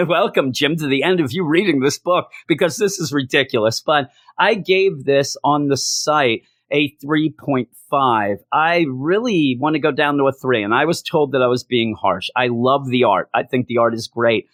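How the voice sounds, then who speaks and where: A hoarse man reviewing a comic book, ranting in exasperation and repeating his complaints for emphasis. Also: mid-range voice, moderate pace, hardly any pauses, fairly bright tone, no echo, studio quality.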